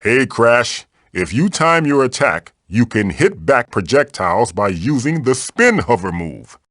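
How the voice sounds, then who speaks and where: Casting: wise old magical mask mentor for a video game, theatrical and wry, very expressive pitch, dry close sound. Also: male voice, unhurried, dry recording. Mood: instructive, encouraging. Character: wise, wisecracking ancient magical mask guardian, male. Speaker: game guide character giving tutorials. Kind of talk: animated video game voice acting.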